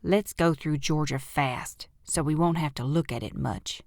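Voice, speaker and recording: A woman's voice: low voice, a little slow, vocal fry, darkish tone, no room sound. Casting: bratty little boy, played by a female narrator, blunt and sneering, audiobook fiction, dry recording. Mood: scornful. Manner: blunt, scornful. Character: rude, sneering young boy. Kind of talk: audiobook character voice.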